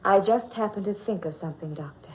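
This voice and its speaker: An actress playing a cool, taunting captive heroine with deliberate, dramatic 1940s radio drama delivery. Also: female voice, mid-range voice, lightly acted. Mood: cool, pointed. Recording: muffled old radio recording.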